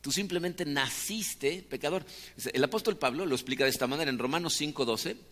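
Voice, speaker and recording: A man with a Mexican Spanish accent preaches a conversational sermon, tossing out rhetorical questions as he goes. His voice sits a little high for a man. It rings with a long reverb, as if he is in a large hall. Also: fairly quick, fairly bright tone. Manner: chatty, asks rhetorical questions.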